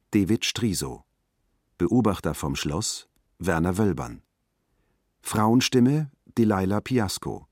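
Very deep, slow male announcer. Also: darkish tone, husky, no echo, studio quality. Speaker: credits announcer. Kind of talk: formal scripted announcement.

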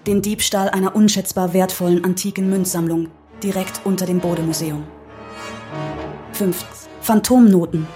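A woman's voice: lowish voice, steady tone, normal speed, mellow. Woman narrating crime stories over music. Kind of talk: dramatic scripted crime-story narration.

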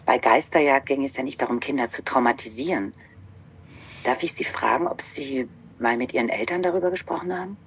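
An actress playing a calm, middle-aged radio play maker, speaking in a husky, measured, thoughtful way. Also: female voice, rumbling low, slight background noise. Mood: gently firm. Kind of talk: interview conversation in audio drama.

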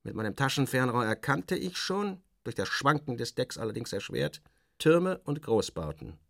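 A man's voice: mid-range voice, very animated, normal speed, vocal fry, a bit bright, dry recording, clean studio sound, heavily acted. An actor playing a sardonic, self-assured journalist, narrating his travels in a literary radio drama with wry, theatrical, clipped phrasing. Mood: observant. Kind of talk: literary first-person narration, dramatic audio reading.

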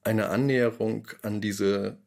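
A man with a low, gravelly voice speaks in an interview as a radio play maker. He explains his work in a thoughtful, reflective way. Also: fairly even intonation, measured pace, a little mellow.

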